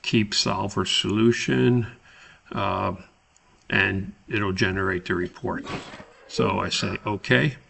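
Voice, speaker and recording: A man giving a step-by-step spreadsheet tutorial as an instructor, explaining deliberately. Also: on the low side, fairly even intonation, vocal fry, a bit bright, a little hiss or hum.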